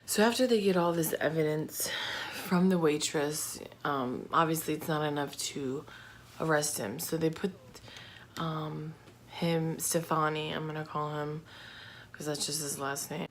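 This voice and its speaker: A woman telling a true crime story in a casual, informal, slangy and halting way. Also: sits low. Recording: clear background noise.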